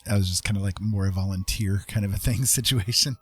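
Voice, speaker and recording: Man, livestream co-host, casual hesitant chat, husky, fairly low pitch, faint music. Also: quick pace, no room sound.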